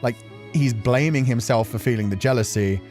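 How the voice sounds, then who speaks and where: A man's voice: medium pitch, normal speed, darkish tone. A man giving casual, reflective commentary as a streamer, talking in an earnest, emotional, rambling way. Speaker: streamer hosting a game playthrough. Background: music.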